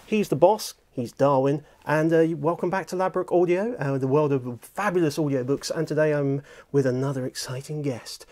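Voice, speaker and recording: A man's voice: a little high, moderate pace, few pauses, a little mellow. A middle-aged English man presenting a casual, jokey interview in a conversational way. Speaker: presenter, audiobook producer and director. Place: small furnished office room, indoors.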